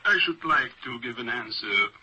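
A man's voice: mid-range voice, expressive, normal speed, bright, forceful tone, slight background noise, rough recording. A man speaking formally and precisely as an academic panelist in a radio discussion.

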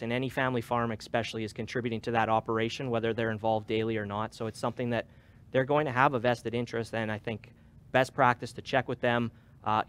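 A man in his thirties answering a question on a webinar panel, casual and hedging, with many fillers. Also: medium pitch. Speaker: farm transition advisor. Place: stage with dark curtains.

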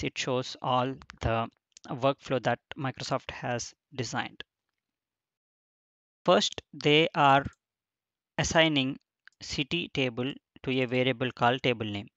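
A man giving a screen-recorded software tutorial, explaining each step with frequent fillers and restarts. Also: mid-range voice, dry recording, lo-fi sound. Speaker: instructor.

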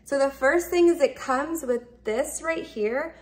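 A woman's voice: sits high, a little slow. A woman in her thirties giving a casual step-by-step product demonstration at home, explaining simply and repeating instructions as she goes. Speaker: product reviewer. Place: indoors, open kitchen and dining room at home.

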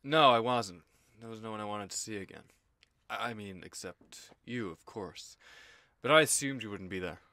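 Bright-voiced, guarded, curt man. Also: on the low side, moderate pace, dry recording, clean studio sound. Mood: wary. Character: guarded, reluctant, curt man, Wall Street worker. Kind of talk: naturalistic audio drama dialogue.

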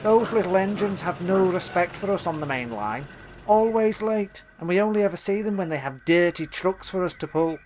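A man's voice: high voice, lively intonation, moderate pace, darkish tone, slight background noise, voice pushed up. A man voicing a vain, snooty engine, James. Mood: sneering. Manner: haughty and scornful. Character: vain proud male red engine.

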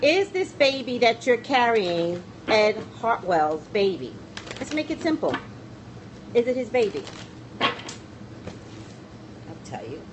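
A woman's voice: on the high side, very animated, slow, long pauses, background noise. A middle-aged woman hosting a psychic livestream, chatting in a rambling way that keeps trailing off and restarting, filmed on a phone at arm's length in a small room. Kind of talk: casual livestream chat. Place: small indoor room against a plain wall. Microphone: phone camera at arm's length.

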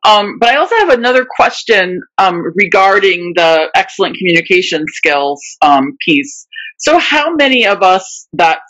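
A woman's voice: medium pitch, very animated, moderate pace, fairly bright tone, low-quality recording. Woman hosting a video call, stuttering. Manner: stutters with repeated words and sound blocks. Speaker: webinar host. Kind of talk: casual video call discussion.

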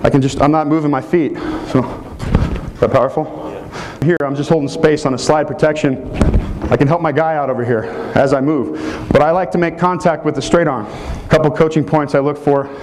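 A man's voice: fairly high pitch, steady tone, a little fast, background noise. A man in his thirties giving a live football technique clinic, explaining each move as he demonstrates it in a hotel meeting room. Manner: explanatory, walks through moves while demonstrating.

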